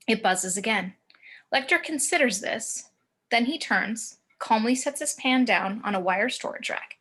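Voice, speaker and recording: A woman reading screenplay action descriptions as narrator in a table read over a video call, in deliberate short phrases. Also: fairly high pitch, a bit bright. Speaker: stage-direction narrator.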